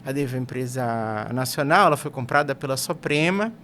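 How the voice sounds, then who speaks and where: A man from Bahia, a guest on an engineering podcast, calmly recounting his career as a technical sales manager. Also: medium pitch, brisk. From Bahia, Brazil. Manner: relaxed storytelling about his career. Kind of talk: podcast interview. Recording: faint background noise.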